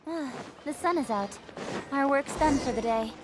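A woman's voice: high-pitched, animated, moderate pace, background noise. An actress voicing a spirited, confident young swordswoman heroine in an anime-style video game. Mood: light, breezy. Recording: game audio under loud music. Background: loud game music and combat hits.

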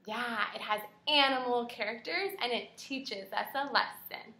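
A woman's voice: on the high side, measured pace, pushed and bright. A young woman, a kindergarten teacher, reading aloud and asking children questions in an encouraging, carefully articulated way. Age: young adult. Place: small room at home, indoors. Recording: roomy, echoing home video.

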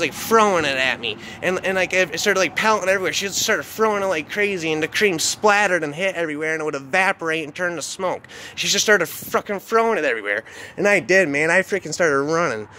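Rambling man with a tense, higher voice. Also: fast talker, pushed and bright, a little hiss or hum. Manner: rambling, repetitive, slangy with frequent swearing. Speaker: storyteller. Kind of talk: informal first-person horror storytelling.

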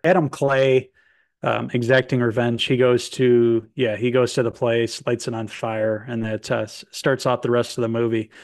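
A man in his thirties gives a loose, meandering recap of a film over a video call from home. His voice is middle-pitched, and he often stops to restart a thought. His tone stays casual throughout. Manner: meandering, many fillers and restarts. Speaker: movie review co-host. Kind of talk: casual film review chat. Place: home room with posters indoors. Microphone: video call webcam.

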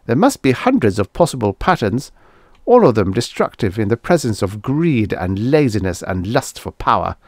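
A man's voice: on the low side, sing-song, normal speed, dry recording, studio quality. A man playing an anxious space explorer in a science fiction audiobook, earnest and insistent as he pleads with urgency.

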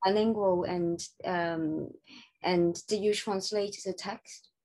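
A woman moderating an online panel, asking questions haltingly with many fillers. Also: on the low side, fairly even intonation, a little mellow.

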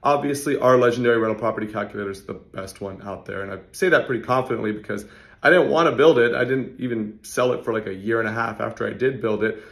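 Hoarse man with a gravelly, worn voice. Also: mid-range voice, fairly bright tone. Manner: fast, conversational, salesy asides. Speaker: real estate investor and channel host. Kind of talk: casual talking-head explainer. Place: indoor office hallway.

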